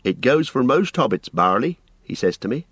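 Flustered old innkeeper, as a male reader puts him on; high, lively, rambling, dry sound. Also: breathy edge, dry recording. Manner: rambling, flustered, drawn-out phrasing. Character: fussy, forgetful, anxious old male innkeeper.

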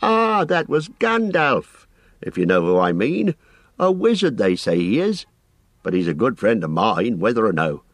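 A man's voice: lowish voice, very animated, no echo, pitched above the performer's own voice. Man putting on a fussy rambling innkeeper. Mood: flustered rambling. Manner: rambling, flustered, drawn-out phrasing. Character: fussy, forgetful, anxious old male innkeeper.

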